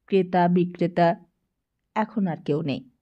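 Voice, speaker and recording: A woman's voice: lowish voice, a little slow, muffled recording. A woman, reading a scripted story aloud as an audiobook narrator.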